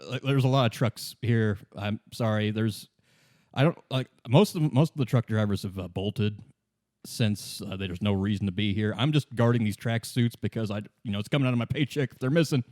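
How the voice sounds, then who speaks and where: A man's voice: on the low side, brisk, darkish tone, no room sound, clean studio sound, lightly acted. Man playing an easygoing, evasive lorry driver. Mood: evasive, hesitant. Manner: hesitant, stammering, casual. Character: laid-back lorry driver guarding his cargo, apologetic and evasive. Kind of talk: improvised tabletop roleplay.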